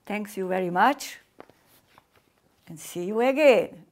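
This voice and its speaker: An older woman with a Hungarian accent delivers a formal welcome address in careful, halting English. She speaks very slowly and deliberately at a middle pitch, recorded indoors facing the camera. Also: lively intonation. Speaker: competition artistic director. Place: indoor room with painting behind.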